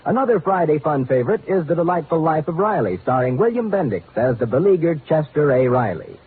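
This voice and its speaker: A man's voice: a little high, sing-song, brisk, background noise. A man announcing a radio show in a polished, emphatic mid-century showman style, reading from a script. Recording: tinny old radio broadcast recording.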